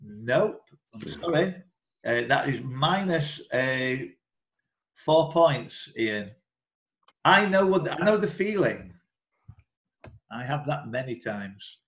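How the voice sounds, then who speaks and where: A man's voice: medium pitch, lively intonation, takes it slowly, pauses often, fairly bright tone. A man hosting an online quiz, reading out questions in a chatty, informal way and breaking off into asides. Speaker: quiz host and question reader. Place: indoor room, online video call. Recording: roomy echo.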